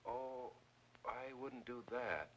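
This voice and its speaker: Bright-voiced, stammering lawyer suitor. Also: male voice, medium pitch, lively intonation, slow pace. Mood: wry, gentle. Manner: hesitant, stammering, self-deprecating. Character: earnest, bashfully romantic lawyer suitor. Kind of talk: 1940s film noir acting. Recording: noisy vintage film soundtrack.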